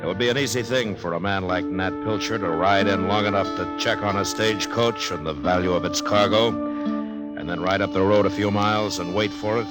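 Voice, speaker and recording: Male actor as weary frontier marshal, very low American voice, stern, plainspoken, old-time Western radio drama. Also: fairly even intonation, fairly quick, loud music behind the voice, close to an everyday voice. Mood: grave narration. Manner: terse, plainspoken, authoritative. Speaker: lead character and narrator. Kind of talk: 1950s Western radio drama acting and narration.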